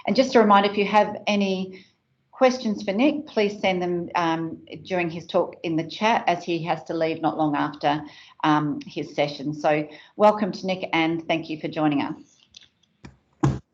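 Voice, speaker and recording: A woman hosting a symposium over a video call, reading a measured, formal speaker introduction. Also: lowish voice. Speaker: symposium host. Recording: dull lo-fi video call audio.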